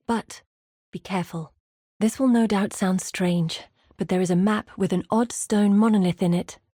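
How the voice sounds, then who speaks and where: An actress playing an earnest, guarded woman mapmaker who guides an exile in a video game, speaking in measured, articulate lines. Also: medium pitch, a little mellow, no echo, clean studio sound, close to an everyday voice. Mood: serious, explanatory. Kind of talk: scripted video game character dialogue.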